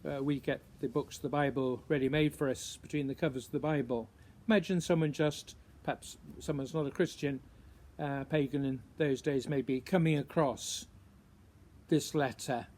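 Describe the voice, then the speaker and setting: A man who is a writer reading his poem aloud, in a measured, musing way with deliberate pauses between short phrases. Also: medium pitch, fairly even intonation, a little mellow. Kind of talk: introduced poetry reading, measured recitation.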